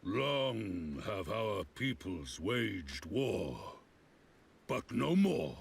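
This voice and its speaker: An actor playing a proud, grave beastman warrior chief in a video game, speaking formally with a gravelly growl. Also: on the low side, very animated, heavily acted. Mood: solemn. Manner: slow, stately, formal declamation.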